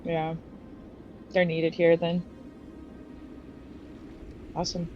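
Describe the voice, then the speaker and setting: Lowish-voiced woman playing a tabletop game. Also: completely flat delivery, drawn-out words, faint music behind. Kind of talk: casual game chat. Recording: dull, slightly noisy audio.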